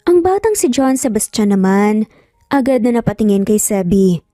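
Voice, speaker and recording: A woman's voice: medium pitch, big pitch swings, moderate pace, warm tone, no room sound, clean studio sound, soft background music. A woman with a Filipino accent narrating a scripted story in a dramatic style.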